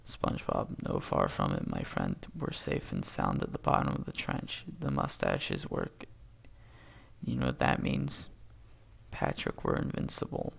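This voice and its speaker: A husky-voiced man reading a film script aloud, stage directions and dialogue alike, stumbling and correcting himself and breaking off into asides. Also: rumbling low, huge pitch swings, unhurried. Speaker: amateur reader doing a long script read-aloud.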